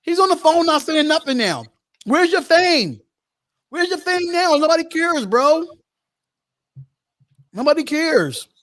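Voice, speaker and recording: A man's voice: very high voice, big pitch swings, measured pace, long pauses, fairly bright tone, no room sound, clean studio sound. A man hosting a casual live sports call-in stream, talking in slangy, repetitive phrases. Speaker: host of a sports call-in show.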